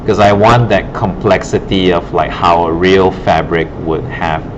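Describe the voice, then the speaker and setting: A low, deep male voice walks a class through a demo in a casual, think-aloud way. The sound is dull and muffled, with clear background hum from the classroom. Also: fairly even intonation, measured pace. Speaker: digital art instructor. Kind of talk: informal classroom demonstration, narrating while working. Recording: muffled, broken audio with loud room hum.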